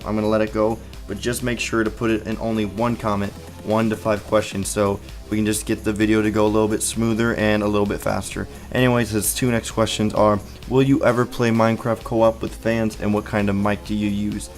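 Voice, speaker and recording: An eighteen-year-old man hosting a casual Q and A for his gaming channel, rambling through answers with lots of fillers, on a headset mic. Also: lowish voice. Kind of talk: casual Q and A video.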